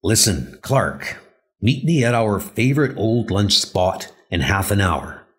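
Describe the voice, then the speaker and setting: A man voicing a weary, conspiratorial middle-aged newspaperman, guarded and urgent in a hushed manner. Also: fairly low pitch, big pitch swings, slow pace, voice pushed down. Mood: furtive, urgent.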